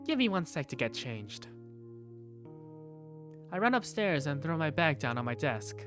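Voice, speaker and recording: A woman's voice: low voice, very animated, measured pace, music in the background. A woman playing an affectionate young working big sister, narrating her own story in a visual novel fan dub. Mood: affectionate, playful. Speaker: narrator and protagonist. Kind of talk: first-person visual novel narration and dialogue.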